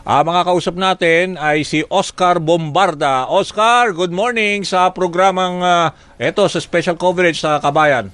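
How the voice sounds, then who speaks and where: A man's voice: high-pitched, unhurried, a bit bright, no room sound. A man with a Filipino accent anchoring live radio news coverage, interviewing in a conversational, hesitant way with frequent fillers. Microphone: close studio microphone.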